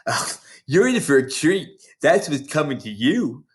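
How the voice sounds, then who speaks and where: A man's voice: fairly high pitch, big pitch swings, a little slow, pitched above the performer's own voice. A man voicing a big, boastful ten-year-old schoolgirl from a storybook, with an emphatic, relishing manner. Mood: brash, taunting.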